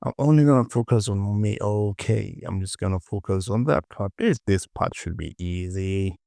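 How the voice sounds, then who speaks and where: A man narrating a casual step-by-step software tutorial in short instructions, often repeating phrases and asking himself questions. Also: lowish voice, normal speed, gravelly, no echo, studio quality.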